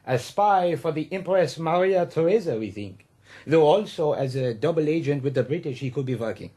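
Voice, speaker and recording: An actor playing a scheming, genial young Prussian captain with a German accent and inverted word order in a radio drama. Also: male voice, fairly high pitch, lively intonation, moderate pace, dark tone, slightly rough. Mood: briefing, secretive.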